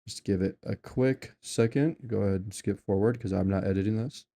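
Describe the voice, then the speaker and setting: A young man walking through a software install in a casual tutorial, rambling with lots of fillers and restarts. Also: on the low side, fairly quick. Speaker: tutorial maker.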